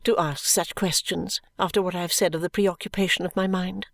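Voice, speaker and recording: A serene, self-absorbed middle-aged mother brushes off her daughter in a clear, complacent voice, as a woman reading a novel puts her on. She speaks low and unhurried, and the recording is dry and close. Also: dry recording. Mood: weary brisk. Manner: calm, clear, complacent and coolly dismissive.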